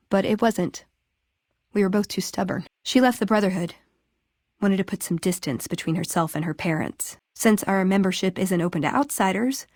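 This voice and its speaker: An actress playing a wry, inquisitive young tech scribe in a video game, with an American accent and a casual, conversational delivery. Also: female voice, mid-range voice, darkish tone, dry recording, studio quality, lightly acted. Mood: wistful. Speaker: video game character actor.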